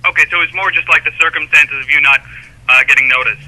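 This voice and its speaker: A man with a slightly high voice asks press questions over the phone. He talks quickly and stumbles over repeated words, with a very sharp tone. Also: rough recording. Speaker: sports reporter asking questions. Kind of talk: press conference-call questioning. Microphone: telephone.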